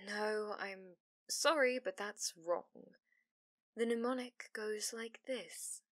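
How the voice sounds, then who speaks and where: A woman playing a possessive, devoted fox-spirit familiar in an ASMR roleplay, speaking intimately and often slipping into breathy whispers. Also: medium pitch, takes it slowly, dry recording, studio quality, natural voice. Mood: insistent, coaxing. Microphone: close studio microphone, dry.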